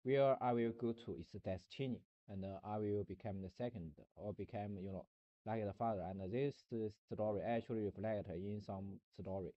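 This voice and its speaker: A man streaming a rambling philosophical monologue, halting and searching for words, often restarting and repeating himself. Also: lowish voice, no room sound, muffled recording.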